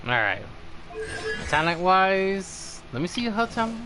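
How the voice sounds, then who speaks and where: Animated, high-pitched male game streamer. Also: unhurried, slight background noise, rough recording. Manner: frequent swearing. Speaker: streamer reviewing game characters. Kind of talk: casual live game stream commentary.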